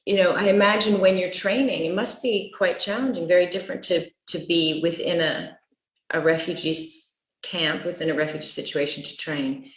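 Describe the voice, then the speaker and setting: A woman moderating a panel interview, asking halting questions that often restart mid-sentence. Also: on the low side, steady tone. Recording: echoey room.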